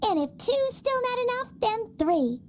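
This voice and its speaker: A woman voicing a small, cheerful, childlike fairy companion in a video game, with a squeaky, excitable delivery. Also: a little slow, a little hiss or hum, exaggerated voice. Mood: earnest, upbeat. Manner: talks about herself in third person, excitable. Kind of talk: video game cutscene voice acting. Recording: background music behind the voice.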